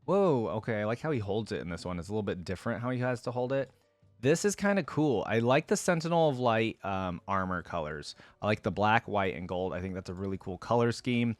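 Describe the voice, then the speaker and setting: Male reaction host, fairly low register, very lively intonation, casual and exclamatory, dry recording. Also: brisk, dry recording, soft background music. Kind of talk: casual reaction commentary on game skins.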